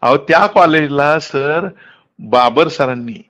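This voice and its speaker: A man's voice: a little high, slow, fairly bright tone. A middle-aged man explains things earnestly and in detail, mixing in English words, in the manner of a college principal. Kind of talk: earnest explanatory phone conversation. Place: phone call. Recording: muffled lo-fi phone call recording.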